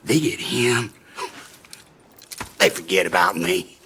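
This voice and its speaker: An actor playing a sly, menacing older outlaw gang leader in a video game, with a gravelly, theatrical drawl. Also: male voice, low-pitched, takes it slowly, pushed and bright, a little hiss or hum, heavily acted. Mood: taunting menace. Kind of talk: video game cutscene acting.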